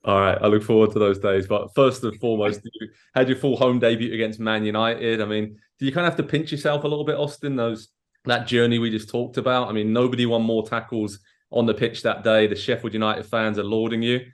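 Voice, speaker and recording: A man's voice: lowish voice, fairly even intonation, brisk. A man interviewing a soccer player, asking long leading questions. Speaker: sports interviewer.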